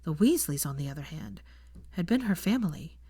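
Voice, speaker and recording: A woman's voice: sits low, big pitch swings, a little fast, dark tone, slightly rough, dry recording. A woman narrating a fan fiction audiobook, reading scripted prose as a storyteller.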